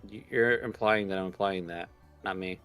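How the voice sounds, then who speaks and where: Casting: male stream co-host, deeper voice, loose joking delivery over quiet music. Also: normal speed, clean tone. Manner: joking, sweary, needling. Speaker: stream co-host reading the game's lines aloud. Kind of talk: casual banter and reading aloud from a visual novel. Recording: music playing behind the voice.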